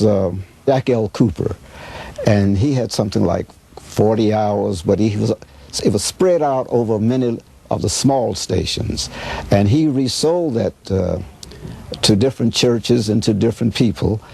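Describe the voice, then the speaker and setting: An older American man in his seventies or beyond, reminiscing in an interview in a halting way, searching for details. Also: lowish voice, lively intonation, gravelly, dark tone, husky. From Chicago, United States. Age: 70s or older. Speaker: radio veteran being interviewed.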